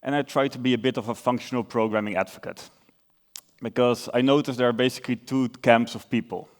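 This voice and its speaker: A young man from the Netherlands with a Dutch accent, giving a conference talk in a relaxed, explanatory way with filler uhs and self-corrections. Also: medium pitch, brisk. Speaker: conference speaker.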